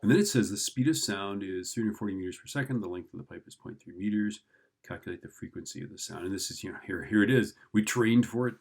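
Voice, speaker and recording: Male teacher, low creaky voice, informal tutoring delivery, thinks out loud and checks in often. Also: fast talker. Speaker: physics teacher. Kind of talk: informal lesson, working through an exam problem.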